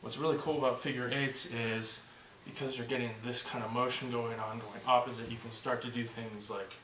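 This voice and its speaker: A man teaching a movement drill step by step, encouraging and repeating himself, slightly hoarse and short of breath. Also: mid-range voice, little pitch movement, brisk, fairly bright tone, roomy sound, distant mic, slight background noise, rough recording. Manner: encouraging, repeats "just like that" and "good". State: losing his voice, a bit out of breath. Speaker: instructor. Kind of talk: step-by-step demonstration lesson.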